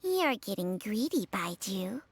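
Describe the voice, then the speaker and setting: A woman's voice: mid-range voice, sing-song, unhurried, a little hiss or hum. An actress voicing an ancient female spirit creature in a video game, wry, world-weary and knowing. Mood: wry, teasing. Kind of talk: dramatic video game dialogue. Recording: loud music behind the voice. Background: game soundtrack music.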